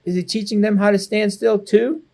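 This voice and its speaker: A man's voice: sits high, steady tone, moderate pace, vocal fry, mellow, pitched above the performer's own voice. A man reading the part of an eager, impatient young boy in a children's storybook. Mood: questioning.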